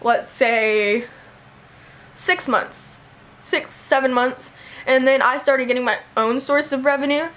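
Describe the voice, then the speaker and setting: Young woman, high voice, hoarse with a cold, casual chatty vlog. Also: slow, a bit bright. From Texas, USA. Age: young adult. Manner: chatty, lots of "like" and "you know". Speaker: vlogger. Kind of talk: casual talk to camera.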